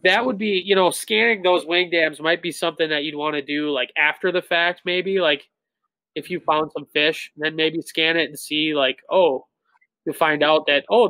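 A man in his thirties, a tournament bass angler, explaining things in a relaxed way full of "like" fillers over a video call. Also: moderate pace, dry recording, low-quality recording. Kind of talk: casual interview talk. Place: indoor room with shelves of tackle. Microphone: webcam on a video call.

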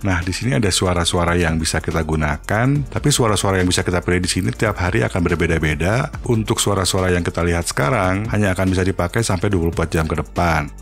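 A man giving an informal, step-by-step software tutorial. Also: deep voice, quick pace, few pauses, faint music behind. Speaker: tutorial host.

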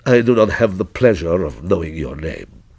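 A man playing a shrewd, courteous shop proprietor, swooping pitch. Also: breathy edge, no echo, full character voice. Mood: soft, reverent. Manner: businesslike, flattering, deliberate salesmanship.

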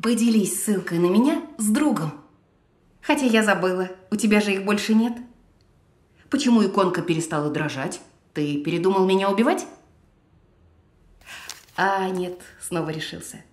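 A woman's voice: mid-range voice, lively intonation, normal speed, pauses often, darkish tone, lightly acted. Echoing, sardonic female AI assistant played by an actress. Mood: sardonic, provoking. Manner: teasing, blunt. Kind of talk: scripted audio film acting.

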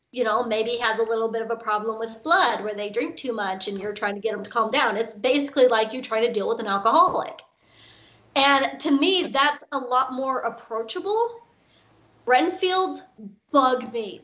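A woman's voice: fairly high pitch, a bit bright. A woman on a panel speaking from home in an emphatic, opinionated way. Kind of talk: casual panel discussion. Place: home room.

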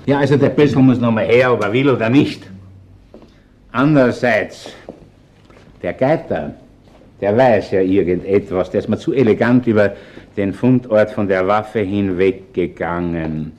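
An actor playing a gruff, shrewd middle-aged Viennese chief inspector in a radio crime drama, speaking bluntly and colloquially. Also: male voice, on the low side, measured pace, a little mellow, lightly acted. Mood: brisk, commanding. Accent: Viennese Austrian. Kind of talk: German-language radio crime drama acting.

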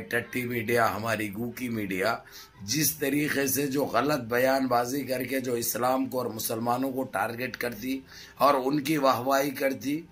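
A middle-aged man with a hoarse throat gives impassioned political commentary to his viewers in emphatic, repetitive, rhetorical phrases. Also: mid-range voice, fairly bright tone. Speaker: news commentary vlogger. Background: background music.

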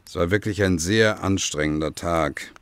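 A man's voice: low-pitched, moderate pace, clear, resonant tone, no echo, lightly acted. A man acting in an audio drama as a weary, hunted but unrepentant husband, earnest and courteous in manner.

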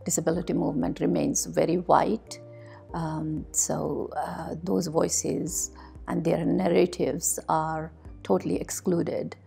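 A middle-aged woman from South Asia telling her story in a reflective interview, halting with frequent pauses. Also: sits low, little pitch movement, vocal fry, dark tone, faint music behind. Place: quiet office meeting room. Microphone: lapel mic.

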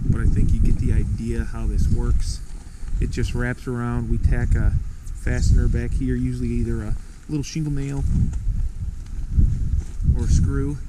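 A man's voice: mid-range voice, very noisy. A man filming himself on a snowy outdoor building site with a head-mounted camera, explaining his work in a plain, practical way. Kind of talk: casual how-to walkthrough. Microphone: head-mounted action camera.

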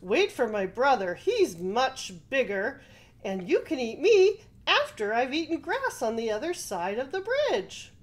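A woman's voice: sits high, very animated, measured pace, pitched above the performer's own voice. A woman voicing a crafty middle-sized male goat, pleading emphatically in a children's story.

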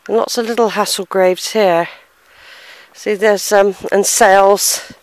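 A middle-aged woman talks to her handheld camera outdoors in a country churchyard. Her voice sits at an ordinary pitch for a woman. She muses and hesitates, sighs, and stops now and then to read old inscriptions aloud. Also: steady tone, measured pace. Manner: musing, hesitant, sighs, reads gravestones aloud. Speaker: family history vlogger. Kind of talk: casual walking vlog, thinking aloud. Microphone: handheld camera, unseen narrator behind it.

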